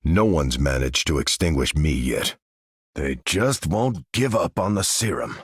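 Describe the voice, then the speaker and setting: Steadfast superhero soldier, widely varied pitch. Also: male voice, animated, unhurried, slightly rough, dry recording, studio quality, heavily acted. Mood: calm, earnest. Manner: earnest, commanding. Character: steadfast, noble male soldier superhero leading his team. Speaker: video game hero. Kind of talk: video game voice lines.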